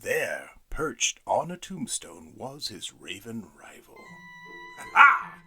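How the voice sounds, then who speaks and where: Expressive, playful male storyteller. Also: lowish voice, slow pace, soft background music. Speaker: narrator. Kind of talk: scripted storybook narration.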